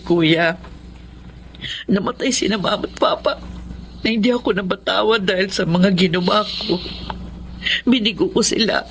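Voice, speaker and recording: Casting: guilt-laden mother in a radio serial, high-pitched woman's voice with slight background noise. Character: remorseful mother confessing her failures, troubled wife. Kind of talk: Tagalog radio drama acting.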